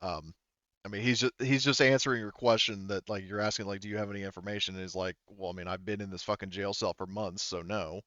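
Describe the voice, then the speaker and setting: Man, game master, low clean voice, casual explanatory narration, dry slightly dull recording. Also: fairly even intonation, fast talker, a bit bright, dry recording.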